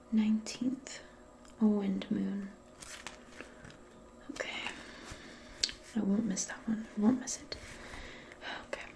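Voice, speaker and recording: A woman playing a casual, gently awkward modern witch in a soft-spoken ASMR roleplay, speaking hesitantly in a breathy, often whispered voice. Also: mid-range voice, slight background noise. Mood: musing, murmuring. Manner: hesitant, murmuring, conversational. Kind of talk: ASMR personal-attention roleplay.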